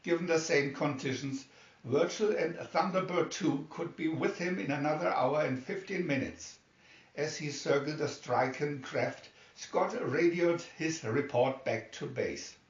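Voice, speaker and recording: A man narrates an adventure story from a booklet in a slightly high voice. He sounds set back from the microphone in a roomy space, with a somewhat dull recording. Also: measured pace, recorded from a distance. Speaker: amateur reader of a children's story booklet. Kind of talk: scripted story narration, read aloud.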